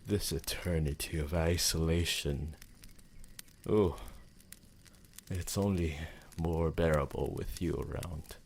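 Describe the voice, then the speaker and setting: Casting: man to play romantic, devoted vampire for ASMR roleplay. Rumbling creaky bass, slow formal delivery, possessive tenderness, slight noise. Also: darkish tone, exaggerated voice. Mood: possessive, tender.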